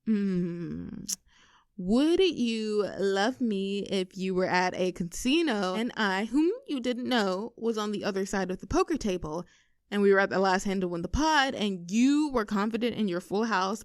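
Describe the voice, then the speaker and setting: A woman playing a playful, clingy young girlfriend in an intimate roleplay audio, teasing and cooing in an animated, affectionate way. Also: mid-range voice, normal speed, no echo, studio quality, lightly acted. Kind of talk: intimate girlfriend roleplay audio, scripted one-sided conversation.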